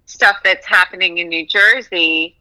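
A woman's voice: on the low side, a little slow, bright, forceful tone, poor audio. A woman comedian chatting as a podcast guest, hesitant at times, with filler words as she talks about her shows. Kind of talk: casual podcast chat.

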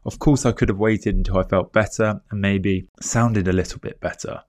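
A man's voice: lowish voice, steady tone. Congested man hosting a podcast. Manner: earnest, measured, repeats key points. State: congested from a cold. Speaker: podcast host. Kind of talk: solo reflective podcast monologue.

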